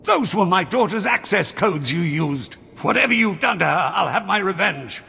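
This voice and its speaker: An actor playing a bitter, vengeful middle-aged father turned rebel in a video game, gravelly, strained and accusing. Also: sits high, lively intonation, moderate pace, bright, forceful tone, exaggerated voice. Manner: dramatic, accusatory. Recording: long hall reverb, audible background noise.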